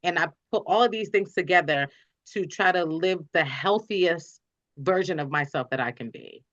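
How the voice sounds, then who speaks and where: Lower-pitched woman guest, slightly muffled audio. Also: normal speed, a bit bright. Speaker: interview guest. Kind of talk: casual conversational interview.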